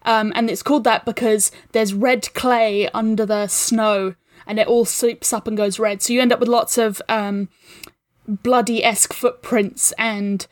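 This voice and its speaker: A woman's voice: on the high side, steady tone, unhurried, dry recording, clean studio sound. A woman guesting on a podcast, discussing film casually and with enthusiasm.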